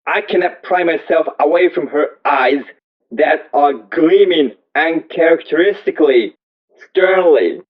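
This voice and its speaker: A man reading a first-person story aloud as scripted narration, in slow, deliberate, measured phrases. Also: a little high. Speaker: audiobook reader. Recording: thin, phone-like narrow-band audio.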